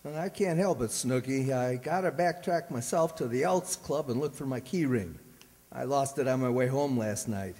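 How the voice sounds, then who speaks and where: A man's voice: mid-range voice, normal speed, darkish tone, lightly acted. A man playing a blustery, stubborn, grumbling American husband full of folksy slang in an old-time radio comedy. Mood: grumbly, determined. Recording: echoey hall, long reverb.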